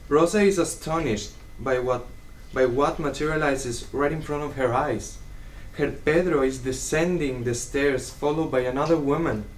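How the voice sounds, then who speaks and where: A young man narrates a stage drama from a prepared script. His mid-pitched voice sits away from the microphone, with faint noise in the room. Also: recorded from a distance, low-quality recording. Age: young adult. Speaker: student narrator. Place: live stage performance room.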